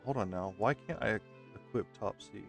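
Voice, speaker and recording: A man's voice: fairly low pitch, a little fast, creaky. A man casually chatting as he plays through a video game, rambling with lots of fillers and talking straight to his viewers. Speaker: gaming video host. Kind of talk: casual let's-play commentary. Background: game music.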